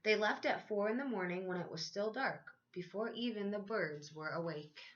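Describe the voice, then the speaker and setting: A woman teacher reading a children's picture book aloud in a measured, expressive storytelling way, stretching out key phrases. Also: medium pitch, dull audio.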